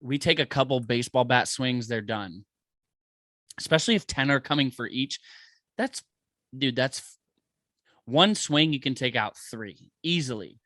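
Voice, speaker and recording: A man co-hosting a podcast in casual chat, animated and saying "bro" and "dude" a lot. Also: mid-range voice, moderate pace, long pauses, no echo, clean studio sound. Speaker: podcast co-host.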